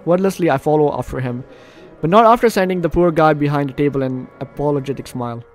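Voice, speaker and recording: A man's voice: a little high, fast, faint music behind. A man doing let's play commentary, reading game narration aloud with jokey asides and stumbles over words. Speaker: gaming video host.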